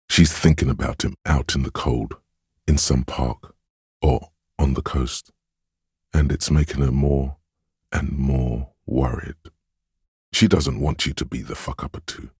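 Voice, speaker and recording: An actor voicing a game's inner voice of empathy, a grave, compassionate narrator with a husky, gravelly voice and a measured, solemn, intimate delivery. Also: male voice, extremely low pitch, a little mellow, no echo, clean studio sound, heavily acted. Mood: gentle, concerned. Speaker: game narrator. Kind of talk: scripted second-person game narration.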